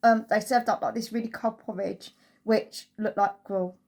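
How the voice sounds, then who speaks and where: A woman's voice: medium pitch, steady tone, moderate pace, a little mellow. A woman giving a casual, chatty holiday review as a travel vlogger, telling it through anecdotes.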